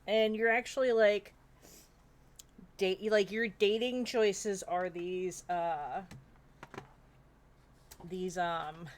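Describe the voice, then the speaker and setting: A woman in casual panel chat, speaking haltingly and correcting herself as she goes. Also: medium pitch, steady tone. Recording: faint background noise.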